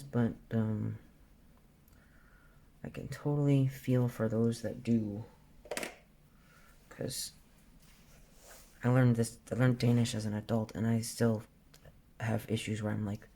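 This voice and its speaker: A woman's voice: very deep voice, measured pace, long pauses. A woman in her forties chatting casually while she draws, thinking aloud and trailing off mid-sentence. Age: 40s. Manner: relaxed, self-talk about the colors. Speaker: art vlogger. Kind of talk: casual chat while drawing.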